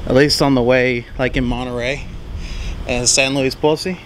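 A man's voice: medium pitch, level tone, normal speed. A young man, rambling casually as he vlogs his travels. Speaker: vlogger. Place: parked truck cabin and outdoors on trails. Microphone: handheld or propped camera. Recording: clear vehicle and outdoor background noise.

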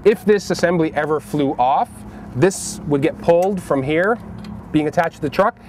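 A man's voice: fairly high pitch, normal speed, a little mellow. A man with a Canadian accent giving practical, plain-spoken how-to tips as a pro angler, emphasizing key points in an instructional way. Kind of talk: practical how-to demonstration talk. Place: outdoors beside a boat and trailer. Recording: outdoor vehicle and ambient noise.

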